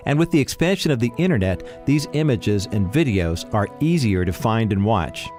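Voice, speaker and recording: A man announcing a radio program, reading a scripted introduction in a measured way. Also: fairly low pitch, sing-song, a little mellow, soft background music.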